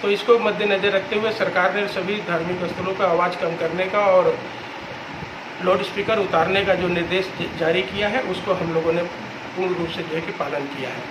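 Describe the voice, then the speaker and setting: A man giving a public statement as a temple representative, in halting phrases with repeated filler words. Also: high-pitched, steady tone. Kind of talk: spoken public statement and appeal. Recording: echoey, very noisy on-location recording.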